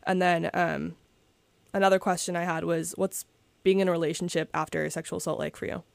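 A young podcast host asking questions in a relaxed, supportive way, with "like" and "um" fillers. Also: mid-range voice, fairly quick, creaky, no echo. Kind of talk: casual podcast interview.

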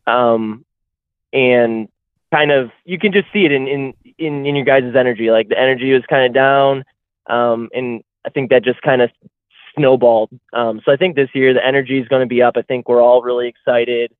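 A man's voice: mid-range voice, fairly even intonation, normal speed, no echo. A young man calling in as a guest on a podcast, chatting loosely with lots of fillers. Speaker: guest athlete. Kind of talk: casual podcast interview. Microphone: phone call. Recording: tinny phone line.

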